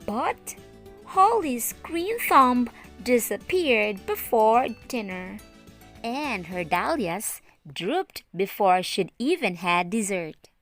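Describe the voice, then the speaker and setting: Lady teacher reading a kids storybook, real slow n expressive, faint music behind. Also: on the high side. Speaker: teacher reading stories aloud. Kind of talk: children's storybook narration.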